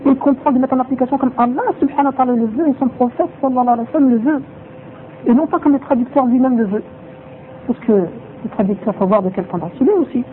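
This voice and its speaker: Man teaching a religious lesson, quick delivery, repeats his points, tinny phone-like sound, faint hiss. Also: extremely high pitch. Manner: mixes in Arabic phrases, repeats points for emphasis.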